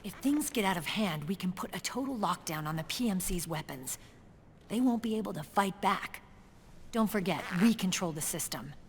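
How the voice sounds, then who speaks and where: A woman's voice: on the low side, expressive, normal speed, slight background noise. An actress playing a tough, defiant female military commander in a video game, firm and earnest in her delivery. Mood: defiant, confident. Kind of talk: cinematic video game voice acting.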